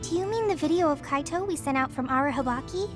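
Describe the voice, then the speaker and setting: A young female soldier in a game cutscene, with a very high, girlish voice. She sounds earnest and resolute, and loud music plays behind her. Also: lively intonation, fast. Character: earnest, determined young woman soldier with fire powers. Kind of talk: English video game cutscene voice acting.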